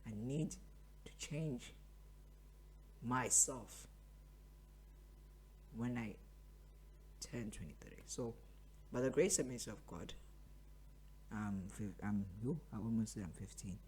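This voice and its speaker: Slow-paced young man, noisy recording. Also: lowish voice, animated, darkish tone. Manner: repeats phrases for emphasis. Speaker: podcast host. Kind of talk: casual motivational monologue to listeners.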